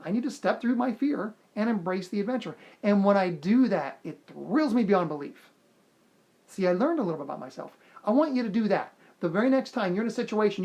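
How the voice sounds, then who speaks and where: A man's voice: high-pitched, a little mellow. A man hosting a motivational video, talking straight to the viewer in an earnest, encouraging way and repeating key lines for emphasis. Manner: encouraging, speaks directly to the viewer. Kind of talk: motivational self-help talk to camera.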